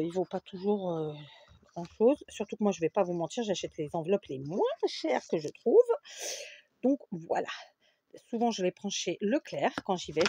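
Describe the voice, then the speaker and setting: A woman's voice: fairly high pitch, a little mellow, slight background noise. A French woman hosting a casual craft tutorial, chatting and often checking in with her viewers with "d'accord?". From France. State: runny nose. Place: home craft table with a fan running.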